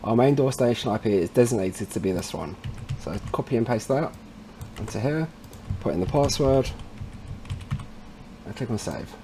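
Mellow man giving a casual tech tutorial. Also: mid-range voice, animated, normal speed, long pauses, slight background noise. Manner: informal, addresses viewers as guys. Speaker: tutorial presenter, installer trade. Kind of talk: casual step-by-step software tutorial.

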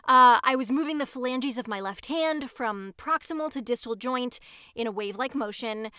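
A woman playing a scatterbrained, self-important disgraced neuroscientist in an audio drama, halting and digressive as she justifies herself. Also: on the high side, bright, forceful tone, clear, resonant tone, no echo, studio quality. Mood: chatty, indignant. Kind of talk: audio drama monologue as if recording a homemade show.

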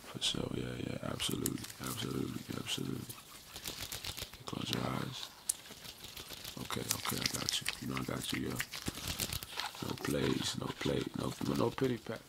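Very deep, crackly-voiced American man murmuring ASMR. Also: big pitch swings, normal speed, creaky. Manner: repetitive, slangy, reassuring murmur. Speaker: ASMR performer roleplaying a barber. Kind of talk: soft-spoken ASMR barbershop roleplay. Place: small room indoors. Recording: noisy close mic with handling sounds.

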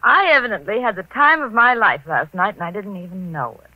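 An actress playing a detective's breezy, playful young wife, airy and coy, in an old radio mystery. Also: female voice, mid-range voice, expressive, a little fast, dry recording, lo-fi sound, natural voice. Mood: light, playful. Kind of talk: 1940s radio mystery acting.

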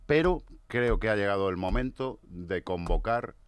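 Give me a middle-aged man with a Castilian Spanish accent hosting a comedy radio show. His pitch moves around in a lively way as he banters loosely on a close studio mic. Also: moderate pace, clear, resonant tone, no echo. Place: radio studio with live audience. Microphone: studio broadcast microphone, close.

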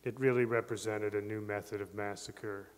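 A middle-aged man reads a historical account aloud at a podium in a grave, even manner. His voice is low and flat. It echoes through a large hall. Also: normal speed. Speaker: reader at a literary memorial. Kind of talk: reading a historical text aloud. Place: large ornate library hall. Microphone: podium mic on a stand. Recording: long hall reverb.

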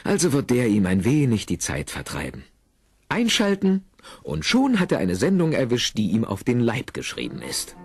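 A man narrating a comedy audio drama in an animated, wry way with rhetorical questions. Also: medium pitch, moderate pace, slightly rough, no echo, clean studio sound. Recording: music under the voice. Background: music.

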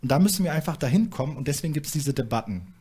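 A man's voice: a little high, expressive, racing, mellow, slightly rough. A young man on a studio panel, explaining his views earnestly with frequent fillers. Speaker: panel guest, activist. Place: studio stage with small audience.

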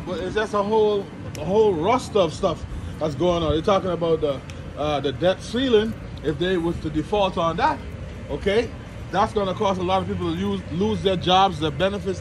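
A man with a high voice talks religion in a colloquial, emphatic way as he walks outdoors. He circles back and repeats phrases for weight. Clear outdoor noise runs behind him. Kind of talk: casual religious talk while out walking. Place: outdoors, walking. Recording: noisy outdoor recording, traffic or wind noise.

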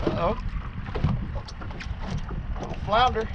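A man's voice: sits high, drawn-out words. An older man giving casual, folksy, understated commentary for a fishing vlog from a small open boat. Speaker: fishing vlogger. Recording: windy outdoor noise, muffled action-camera sound. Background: loud wind and water noise.

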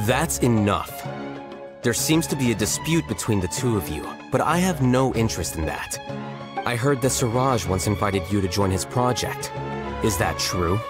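A man's voice: lowish voice, close to an everyday voice. A man playing a cool, aloof young scholar. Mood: calm. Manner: calm, measured, curt. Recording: game audio with music.

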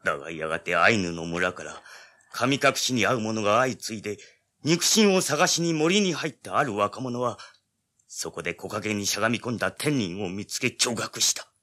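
An actor playing an old village man in an audio drama, recounting dreadful events gravely in old-fashioned speech. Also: on the low side, animated, moderate pace, sharp tone. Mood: ominous.

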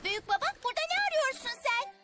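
A sensible, sceptical cartoon girl of ten. The voice is extremely high and quick, questioning and matter-of-fact, over loud music. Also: lively intonation. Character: sensible, sceptical ten-year-old girl, brainy cousin. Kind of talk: animated cartoon dub acting.

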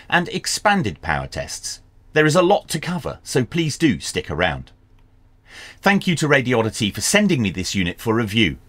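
A man in his fifties presenting a scripted product review in a measured, clearly enunciated way. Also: medium pitch, a bit bright, no room sound. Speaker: host of a radio review channel. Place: small indoor workshop.

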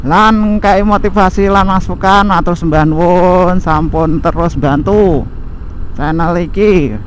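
A man with a Javanese accent chatting casually while riding a motorbike, talking to viewers through an action camera. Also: sits high. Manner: slow, relaxed chatty narration in Javanese mixed with Indonesian. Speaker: motovlogger. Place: riding a motorbike on an outdoor road. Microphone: helmet or bike-mounted action camera. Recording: faint road and traffic noise.

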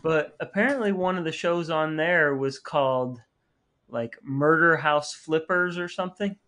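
A man's voice: on the high side, a little slow, pushed and bright. A man hosting a casual podcast, chatting and addressing the listeners. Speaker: podcast host. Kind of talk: casual podcast banter and sign-off.

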